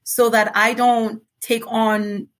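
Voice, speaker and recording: A woman's voice: fairly high pitch, little pitch movement. Earnest woman with a light Yoruba-tinged American accent. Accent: American English with a light Yoruba tinge. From Nigeria. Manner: earnest, reflective, laughs easily, slows to stress points. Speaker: guest author. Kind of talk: relaxed podcast interview.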